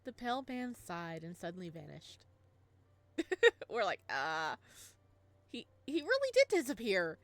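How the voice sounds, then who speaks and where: Giggly, animated woman gamer. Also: on the high side, moderate pace, dry recording. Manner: giggly, excitable, animated reactions. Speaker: let's play host. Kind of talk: visual novel playthrough commentary.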